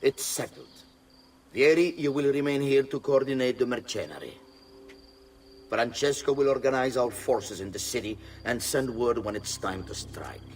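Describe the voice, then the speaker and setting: A man's voice: medium pitch, moderate pace, a little hiss or hum, close to an everyday voice. A man playing a cold, authoritative villainous leader of conspirators in a video game. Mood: cool command. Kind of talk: video game cutscene acting.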